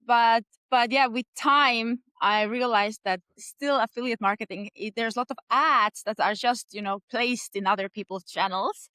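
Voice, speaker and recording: A woman's voice: fairly high pitch, normal speed, few pauses, pushed and bright, clean tone, dry recording. A woman in her thirties with an Estonian accent, answering interview questions earnestly with many hesitations. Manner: frequent hesitations and restarts, earnest. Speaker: podcast guest.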